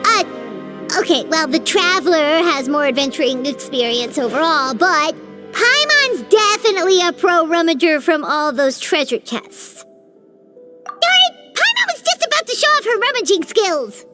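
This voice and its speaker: Bouncy, very high-pitched little sidekick creature, boastful and chirpy. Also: female voice, very animated, moderate pace, over loud music. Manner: chirpy, talks of herself in the third person. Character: tiny floating girlish companion, bubbly and boastful.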